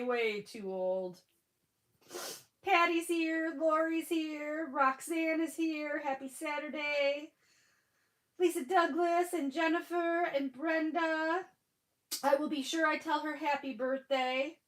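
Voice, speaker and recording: A woman's voice: sits high, a little slow. A woman in her forties with a Michigan accent and a stuffy nose, chatting casually on a live stream and greeting viewers by name. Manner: frequent fillers and restarts. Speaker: livestream crafting host.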